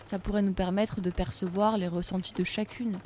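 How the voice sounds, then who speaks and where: A woman's voice: medium pitch, level tone, normal speed, mellow, slight background noise, natural voice. A woman playing a cooperative workshop colleague, eager to try things, in a conversational audio drama. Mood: constructive. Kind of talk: naturalistic audio fiction dialogue.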